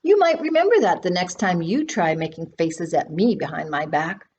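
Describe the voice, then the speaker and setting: A woman's voice: fairly low pitch, expressive, moderate pace, darkish tone, dull audio, a little hiss or hum. A woman reading a storybook as an eccentric, effusive great-aunt in her eighties, gushing and exclaiming. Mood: playful scolding.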